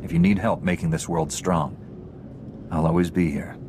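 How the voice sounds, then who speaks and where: A man playing a calm, principled warrior-monk hero in a video game, grave and earnest. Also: low voice, moderate pace, noisy background, natural voice. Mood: firm, composed. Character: calm, principled male Jedi knight hero. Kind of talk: video game cutscene acting.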